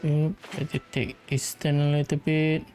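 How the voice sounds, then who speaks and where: A woman's voice: sits low, little pitch movement, normal speed, dark tone. A woman giving casual commentary while playing through a game as a streamer. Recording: game music faintly behind.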